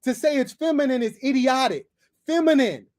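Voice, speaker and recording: A man's voice: very high voice, animated, normal speed, darkish tone. A man from Los Angeles hosting a livestream, insulting and cursing at a caller in a heated, domineering way. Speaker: livestream host. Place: abroad on livestream.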